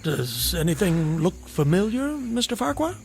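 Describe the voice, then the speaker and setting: A man's voice: high-pitched, sing-song, normal speed, creaky, a little hiss or hum, full character voice. A man playing an eccentric, courteous solitary wanderer in an audio drama, slyly curious and quaintly polite. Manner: genteel, quaint, wheedling politeness.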